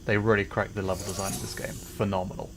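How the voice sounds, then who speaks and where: A man's voice: on the low side, little pitch movement, fast, slight background noise. A man streaming a game live, explaining level design in an enthusiastic, rambling way. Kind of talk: live gameplay commentary.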